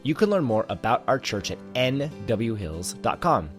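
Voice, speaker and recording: A man's voice: medium pitch, sing-song, normal speed, soft background music. A man reading a scripted introduction to a church message. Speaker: church announcer.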